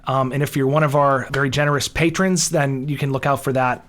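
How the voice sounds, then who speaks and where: A man's voice: mid-range voice, steady tone, brisk, fairly bright tone. A man co-hosting a podcast in a casual, conversational style. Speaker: podcast co-host.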